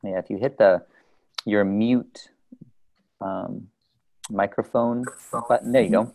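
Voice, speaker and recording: Very low voice, vocal fry, patient instructive host, casual group video call. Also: fairly even intonation, slow, darkish tone. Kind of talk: casual meeting facilitation, guiding introductions.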